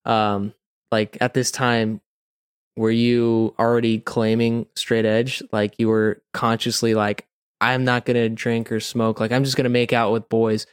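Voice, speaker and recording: Male podcast co-host, direct and conversational, medium pitch, informal phrasing, very dry sound. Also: little pitch movement, measured pace, clear, resonant tone, no echo. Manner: informal, direct, peppers speech with "like". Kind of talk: casual chat, questioning.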